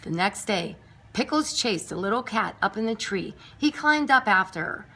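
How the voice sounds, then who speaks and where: A woman reading a picture book aloud to nursery school children, expressive and emphatic, with chatty asides. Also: medium pitch, normal speed. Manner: emphatic, clearly paced for young children.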